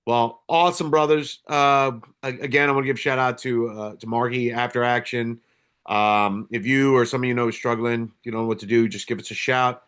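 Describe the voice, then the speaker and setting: A man's voice: mid-range voice, fairly even intonation, a little fast, fairly bright tone, clear, resonant tone. A man hosting a podcast in a casual, slangy way, trading teasing banter with friends. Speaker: podcast host.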